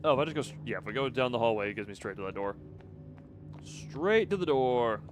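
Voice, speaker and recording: A man doing live gameplay commentary, thinking out loud and often repeating and drawing out his phrases as he muses to himself. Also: mid-range voice, animated, brisk, over music. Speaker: video game streamer.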